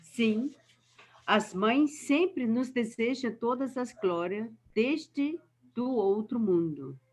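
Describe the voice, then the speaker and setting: A woman's voice: on the high side, animated, takes it slowly, darkish tone. A Brazilian woman from Espírito Santo reading a passage aloud to a study group, carefully and with some stumbles.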